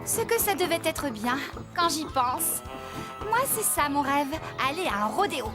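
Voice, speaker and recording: A woman voicing a cheerful, enthusiastic young woman farmer in a children's cartoon, with a lively, expressive delivery. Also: high-pitched, moderate pace, over loud music. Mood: curious, dreamy.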